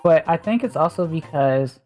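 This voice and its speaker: A woman's voice: sits low, animated, moderate pace, dark tone, faint music behind. A woman with a mild Southern US accent, chatting casually on a stream and rambling as she thinks aloud with lots of fillers. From Southern United States.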